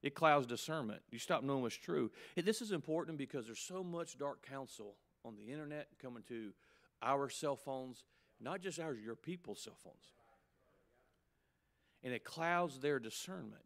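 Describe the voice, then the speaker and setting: A man in his fifties, a pastor preaching a sermon with emphatic, punchy delivery and quick asides. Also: medium pitch, expressive, creaky, a bit bright. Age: 50s.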